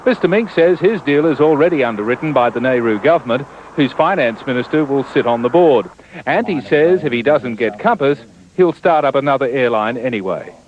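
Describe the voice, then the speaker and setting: A man narrating a television news report in a steady, matter-of-fact way. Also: mid-range voice, fairly quick. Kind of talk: scripted news report voice-over. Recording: dull old broadcast tape.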